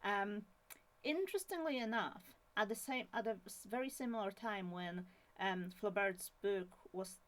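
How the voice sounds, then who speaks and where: A woman's voice: mid-range voice, normal speed. Young woman, Polish accent, casual solo channel host, chatty with frequent fillers. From Poland. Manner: chatty, many fillers and restarts. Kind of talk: casual book talk to camera.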